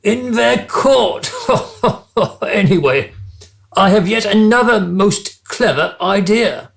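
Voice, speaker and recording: Bratty pompous king for a radio comedy, high voice, swoops all over, takes his time. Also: lively intonation, slow pace, vocal fry, a bit bright, exaggerated voice. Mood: smug. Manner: pompous, petulant, theatrically posh phrasing. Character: childish, spoilt, vain, callous young king. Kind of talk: comic radio play acting.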